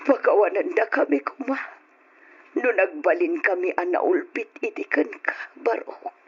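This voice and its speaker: An affectionate middle-aged aunt from an old radio play, given to emotional, drawn-out phrases. Her husky voice rises and falls a lot. The sound is muffled like an aged broadcast. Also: medium pitch, exaggerated voice. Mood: tearful remorse. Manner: emotional, drawn-out expressive delivery. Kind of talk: old radio drama acting. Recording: dull old radio recording.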